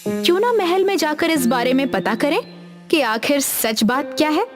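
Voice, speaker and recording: A woman's voice: high voice, lively intonation, moderate pace, faint music behind. A woman voicing female characters in a horror audio story, with dramatic, emphatic delivery. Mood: concerned. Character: women of a horror tale, anxious and accusing.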